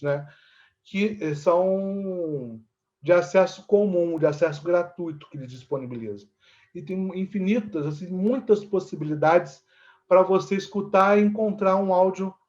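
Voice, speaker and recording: A man with a Brazilian Portuguese accent explaining things conversationally as an interview guest, haltingly, often checking in with short tag questions. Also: on the high side, muffled recording. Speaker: actor and theatre teacher, interview guest.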